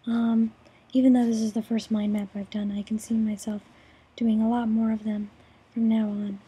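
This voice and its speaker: A young woman with a hoarse, raspy voice after throat surgery, presenting her course project over a screen recording with many ums and restarts. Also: fairly high pitch, little pitch movement, normal speed, mellow. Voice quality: raspy, breathy.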